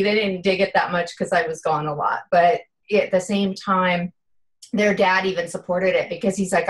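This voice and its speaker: A woman's voice: mid-range voice, poor audio. A woman in her fifties, a guest in an interview, speaking earnestly and from the heart with many "you know" fillers. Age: 50s. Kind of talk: casual interview chat.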